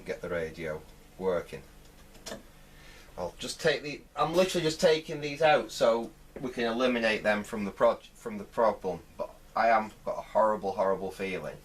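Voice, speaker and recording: A man giving unscripted hands-on repair commentary, thinking aloud in a halting way as he works. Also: fairly low pitch, distant mic, slight background noise. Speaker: host of a vintage radio repair channel.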